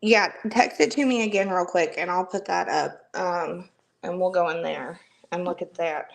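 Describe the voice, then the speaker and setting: Gravelly chatty woman. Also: on the low side, normal speed, low-quality recording. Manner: chatty, lots of fillers and restarts. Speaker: livestream host. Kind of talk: casual livestream chat.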